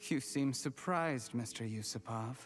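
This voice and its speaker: A man voicing a cold, ambitious schemer in a video game, speaking with measured, smug, articulate menace. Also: mid-range voice, lightly acted. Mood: bitter resolve. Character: cold, calculating, ambitious male schemer gloating in revenge. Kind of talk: dramatic video game voice acting. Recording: music behind the voice.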